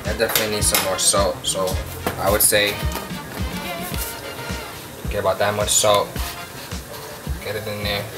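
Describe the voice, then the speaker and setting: A Puerto Rican man hosting a home cooking show, walking through a recipe step by step in a relaxed, chatty way. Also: lowish voice, measured pace, long pauses. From Puerto Rico. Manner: laid-back, chatty step-by-step instructions. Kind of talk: casual cooking demonstration. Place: home kitchen. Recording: distant mic under loud music.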